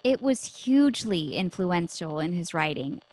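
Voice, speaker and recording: A woman's voice: on the low side, animated, a little slow, darkish tone, rough recording. A woman acting in a radio drama as a candid, thoughtful, bookish co-host. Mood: uncomfortable, candid. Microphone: close studio mic on boom arm.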